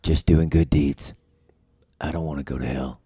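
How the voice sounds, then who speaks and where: A mysterious, world-weary noir drifter acted out by a man, with a low, mellow voice. He speaks in an earnest, deadpan way, brooding over a troubled past, and the sound is close and dry. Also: moderate pace, husky, dry recording, heavily acted. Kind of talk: noir web series table read.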